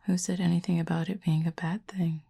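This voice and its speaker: A woman voicing a calm, curious cupid in an intimate audio roleplay, speaking softly and half-whispered with formal, measured, gently dry phrasing. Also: lowish voice. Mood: calm, gently teasing. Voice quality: breathy, soft, half-whispered. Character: calm, curious otherworldly cupid who feeds on feelings.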